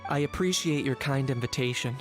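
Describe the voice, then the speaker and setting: A man's voice: mid-range voice, fairly quick, natural voice. Guy playing cool young adventurer dude in a game, mid voice, dry n matter of fact, bit of music behind. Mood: dry, composed. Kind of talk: video game character acting.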